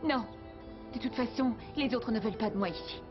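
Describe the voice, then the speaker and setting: A woman's voice: a little high, fairly quick, lightly acted. A woman acting a guarded, spirited young woman in a dubbed cartoon, earnest and clipped. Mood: defiant. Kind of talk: dubbed animated series acting. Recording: muffled sound with music underneath.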